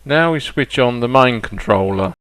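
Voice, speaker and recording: A man narrating a hobby product demonstration step by step, in a plain-spoken, deliberate way with hesitant pauses. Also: mid-range voice, lively intonation, fairly bright tone, no echo. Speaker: hobbyist presenter demonstrating a model train radio control.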